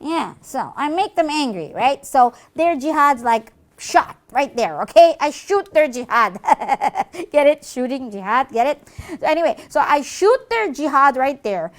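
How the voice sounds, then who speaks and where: A woman's voice: high voice, very animated, unhurried. A woman voicing a boastful, gleeful devil in a puppet show, brash and chatty, repeating words for emphasis. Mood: smug, joking.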